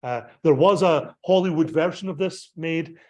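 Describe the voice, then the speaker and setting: Brief: Scottish pastor narrating travel slides, meandering, lots of fillers, voice a touch high. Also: male voice, normal speed, darkish tone. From Scotland. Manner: rambling, digressive, many ums and restarts. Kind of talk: slideshow talk on a pilgrimage.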